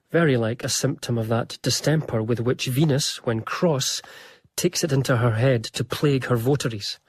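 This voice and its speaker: Radio drama: male actor as eager young gentleman diarist of the 1700s, mid-pitched, formal and literary, confiding in the listener. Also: breathy edge, no echo, lightly acted. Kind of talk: radio drama narration and dialogue.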